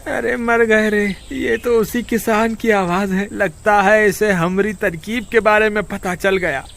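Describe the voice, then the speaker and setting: A man's voice: high voice, steady tone, unhurried, vocal fry, fairly bright tone, heavily acted. A man voicing a panicky, scolding village sidekick in a moral-story cartoon, whiny, exclaiming and pleading. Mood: panicky, fretful.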